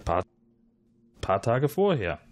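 A man playing an evasive, defensive suspect under questioning in an improvised role-play, answering haltingly. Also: medium pitch, big pitch swings. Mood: cornered, halting. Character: cornered, evasive male suspect, insolent when provoked.

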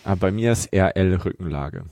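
A man chatting casually as a podcast co-host. His voice is low with noticeable fry. The recording is dry and free of echo. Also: normal speed, dark tone. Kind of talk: casual chat reviewing audio drama.

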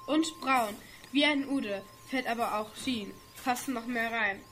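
Young female actor, high voice, as a helpful, matter-of-fact girl guide in an amateur radio play; ambient noise behind. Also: a little slow, fairly bright tone. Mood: explaining. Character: helpful girl from a future world explaining vehicles.

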